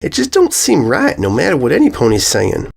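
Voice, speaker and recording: A plainspoken little farm filly, put on by a male narrator. The pitch jumps well up, and the talk is homespun, earnest and concerned, as in a children's storybook. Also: wildly swooping pitch, fairly quick, gravelly, exaggerated voice. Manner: folksy, homespun phrasing. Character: plainspoken country filly, concerned young pony girl.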